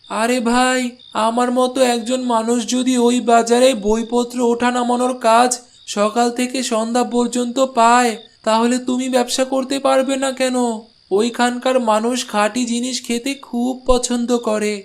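A man's voice: very high voice, level tone, normal speed, vocal fry. A man voicing a kindly village cart driver in a cartoon, speaking in a reassuring, neighbourly way. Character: helpful village bullock-cart porter, kindly male friend. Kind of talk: Bengali cartoon dialogue. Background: soft music.

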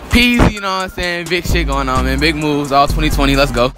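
A man's voice: a little high, animated, quick pace, over loud music. A young man answering interview questions with slangy, joking banter.

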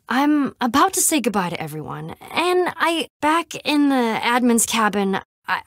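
A woman's voice: on the high side, dry recording, studio quality, natural voice. An actress voicing a scrappy, wry young female adventurer in a video game, talking in a casual, sarcastic, plain-spoken way. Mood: earnest, hesitant.

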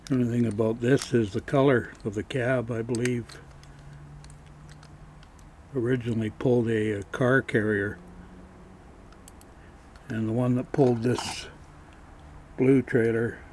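A man showing his collector's finds in a casual, matter-of-fact way, pausing as he handles each piece, recorded on a camera mic. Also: mid-range voice, fairly even intonation, darkish tone, a little hiss or hum. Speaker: toy collector showing flea market finds. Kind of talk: casual show-and-tell narration.

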